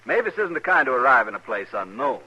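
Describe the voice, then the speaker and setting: A man's voice: medium pitch, very animated, fast talker, sharp tone, slightly rough, exaggerated voice, rough recording. An American actor playing a menacing, haughty, jealous man in an old radio western, with curt and threatening delivery. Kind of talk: mid-century American radio western acting.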